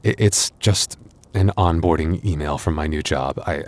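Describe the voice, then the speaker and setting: A man playing a gentle, anxious young werewolf boyfriend in an intimate audio roleplay, speaking softly and haltingly. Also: very deep voice. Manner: intimate, hesitant, soft-hearted, halting.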